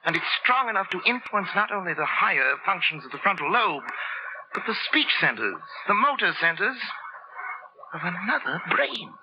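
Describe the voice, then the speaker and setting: An actor playing an obsessed, excitable scientist in 1940s radio horror drama, speaking with intense, theatrical urgency. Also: male voice, sits high, moderate pace, heavy background noise. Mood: feverishly excited. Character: obsessed, driven male scientist, excitable and unstable. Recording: muffled vintage 1940s radio broadcast with music.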